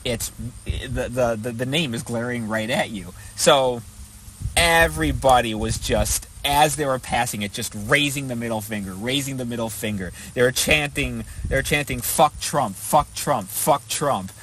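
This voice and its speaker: A man's voice: mid-range voice, moderate pace, fairly bright tone, slight background noise, low-quality recording. A man telling a story casually to camera in an unscripted, rambling way, griping and swearing as he goes. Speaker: vlogger recounting a protest he attended.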